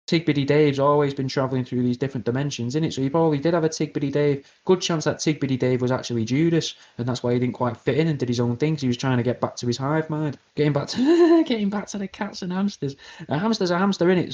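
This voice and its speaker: A British man hosting an internet radio show, delivering a crude, rambling comedic conspiracy monologue in a deadpan way. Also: on the high side, fast, lo-fi sound. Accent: British English.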